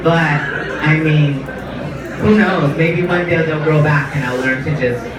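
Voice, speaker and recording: A man with a raised, fairly high voice tells playful, self-mocking stories on a club stage. He speaks as someone whose first language is Spanish. The sound comes from far off in a very echoey hall, with a chattering crowd behind him. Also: steady tone, unhurried, noisy background. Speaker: panel guest. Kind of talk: live Q&A panel. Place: club stage under colored lights. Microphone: far audience camera.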